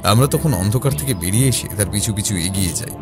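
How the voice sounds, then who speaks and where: A man playing a composed detective who recounts his investigation in a measured, explanatory first-person narration. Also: fairly low pitch, lively intonation, lightly acted. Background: background music.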